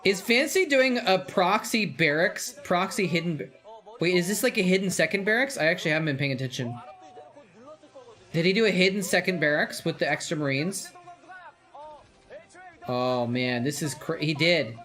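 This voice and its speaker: A slightly high-voiced man hosting a live stream in a loose, rambling way. He chats to viewers between moments of the game, gets worked up when the action turns, and laughs easily. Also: lively intonation, normal speed, long pauses, pushed and bright. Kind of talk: casual live-stream commentary and chat.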